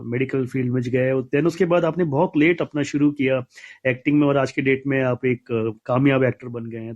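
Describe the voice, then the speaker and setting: A man's voice: medium pitch, darkish tone. Indian man hosting, in dull lo-fi audio. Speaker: host of a film discussion show. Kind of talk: conversational panel hosting.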